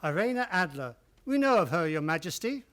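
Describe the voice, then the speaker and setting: Radio drama loyal gentleman sidekick: high male voice, very expressive, inquisitive, mildly hesitant. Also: a little fast. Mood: polite. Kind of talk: radio play acting.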